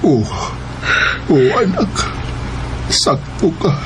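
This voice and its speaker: A man's voice: sits high, big pitch swings, extremely slow, exaggerated voice. An actor playing a sickly, remorseful older husband and father in a radio drama, weak and apologetic, with a Filipino accent. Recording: radio drama with clear background noise.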